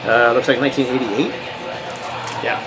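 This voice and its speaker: An American man chats casually about records from his collection, in a mid-range voice that wanders through fillers and false starts. Loud thrash metal plays underneath him the whole time. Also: mid-range voice, normal speed, music in the background, rough recording. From United States. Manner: rambling, relaxed, many fillers and restarts. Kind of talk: casual record show-and-tell.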